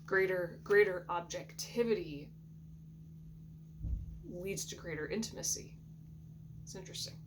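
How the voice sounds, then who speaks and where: A woman giving a soft, reflective intuitive tarot reading in a groggy morning voice, often clearing her throat. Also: on the low side, measured pace, long pauses. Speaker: tarot reader. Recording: steady background hum.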